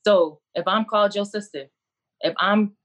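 A woman's voice: mid-range voice, moderate pace, fairly bright tone. Woman, college coach, video call, earnest, preacherly, repeats her points. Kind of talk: impassioned faith-based speech on a video call.

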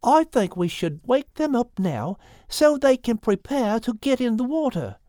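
A man's voice: extremely high pitch, very animated, a little slow, dark tone, no room sound, clean studio sound, pitched above the performer's own voice, exaggerated voice. A man voicing a cautious, sensible platypus who speaks carefully and earnestly. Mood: worried.

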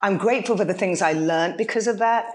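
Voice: mid-range voice, fast talker. A musician guest talking in an earnest, reflective way over a remote call, with lots of fillers. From Nigeria. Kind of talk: casual chat.

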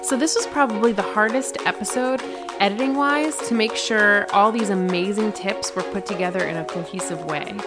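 A woman's voice: mid-range voice, normal speed, music in the background. A woman hosting a podcast, introducing the show and its topics in a friendly, plainspoken way. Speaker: podcast host. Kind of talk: scripted podcast intro and narration.

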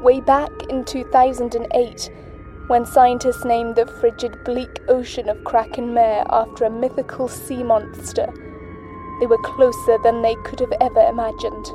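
A grave woman astronaut, played by an actress, delivers a sombre message as the last survivor in an audio drama. Her voice sits a little high and stays very even and measured. Clear music runs behind her ominous words. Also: lightly acted. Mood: grave, haunted. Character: grave, shaken woman astronaut doctor, sole survivor of expedition. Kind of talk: audio drama monologue, recorded message home.

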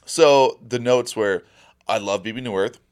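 A man's voice: on the low side, measured pace. A man co-hosting a movie review podcast, reading off his notes with sarcastic, profane remarks. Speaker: podcast co-host. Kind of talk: casual movie review chat.

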